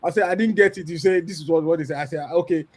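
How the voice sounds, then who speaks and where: A Nigerian-accented man in his thirties, speaking on a church panel, telling his story with lively acted-out dialogue. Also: on the high side, fast. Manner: animated storyteller, acts out quoted dialogue. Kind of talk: candid testimony on a church panel.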